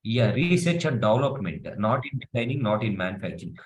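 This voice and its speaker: A man's voice: fairly low pitch, sing-song, fast. A man from India in his forties, a prospective student on a video call, speaking hesitantly with many fillers.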